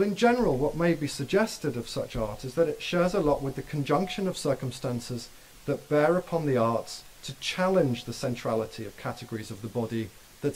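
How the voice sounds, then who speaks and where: A man's voice: medium pitch, darkish tone, slight background noise, low-quality recording. Man reading a scholarly keynote. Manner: measured, dense scholarly phrasing. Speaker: keynote speaker. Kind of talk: formal academic lecture read aloud. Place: dim lecture hall with projection screen.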